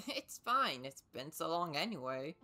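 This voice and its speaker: A woman's voice: sits low, big pitch swings, unhurried. A woman giving casual, playful commentary as she livestreams a video game, repeating words as she thinks aloud. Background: game music.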